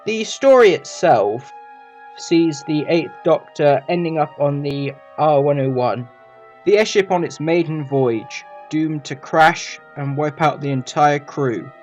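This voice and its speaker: A man's voice: fairly high pitch, a little slow, faint music behind. A man giving a casual review commentary as a video reviewer.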